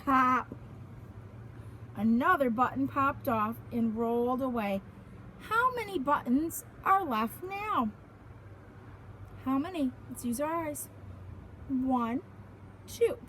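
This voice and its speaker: A woman with a high voice reads a children's picture book at a very unhurried pace. Her delivery is expressive and sing-song, like a preschool teacher putting simple questions to little listeners. There is faint noise in the background.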